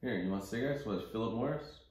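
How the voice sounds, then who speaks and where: A man with a slightly low voice speaks in a heavily echoing room. He hosts an investing call off the cuff, blunt and opinionated, with coarse, casual wording. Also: fast talker, darkish tone. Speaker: host of an investing conference call. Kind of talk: informal market commentary, speaking off the cuff. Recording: very roomy, echoing sound.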